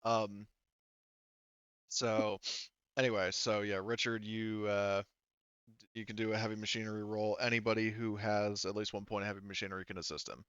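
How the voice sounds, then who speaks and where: A man's voice: lowish voice, flat delivery, normal speed, fairly bright tone, clear, resonant tone, no room sound, dull audio. A man refereeing a tabletop roleplaying game in a casual, informal way as game master.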